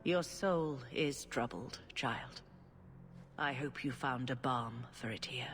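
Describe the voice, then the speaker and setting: An actress playing an elderly, gentle head cleric in a game, speaking in a measured, solemn and formal way. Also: female voice, very deep voice. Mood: compassionate, grave. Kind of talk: dramatic game dialogue. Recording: long church-like reverb, music behind.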